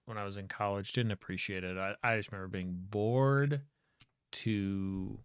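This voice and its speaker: Gravelly low-voiced male streamer. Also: no room sound. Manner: halting, self-deprecating, many restarts. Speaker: streamer host. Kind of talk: casual chat.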